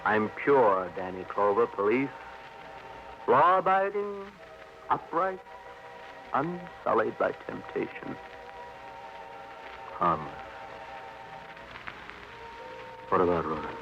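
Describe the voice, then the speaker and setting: An American actor playing a bitter, self-pitying young drunk in a 1940s radio crime drama, with florid, mock-grand delivery. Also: male voice, lowish voice, very animated, takes it slowly, gravelly, pauses often, exaggerated voice. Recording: dull vintage radio recording with music behind.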